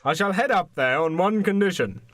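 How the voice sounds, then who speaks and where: A man's voice: high voice, expressive, fairly quick, fairly bright tone, dry recording, pitched above the performer's own voice. A man acts out an ancient, pompous mayor in a comic radio play. He rambles, repeats himself and bristles with indignation, lewd and doddery, in a slightly raised voice recorded close and dry. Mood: smug, lewd.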